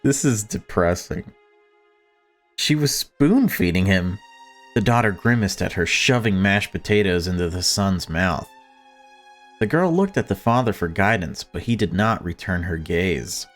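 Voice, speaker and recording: A man's voice: low-pitched, expressive, a little slow, faint music behind. A man reading a visual novel aloud as a let's-player, with informal, sweary asides. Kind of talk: narration and casual reactions to a visual novel.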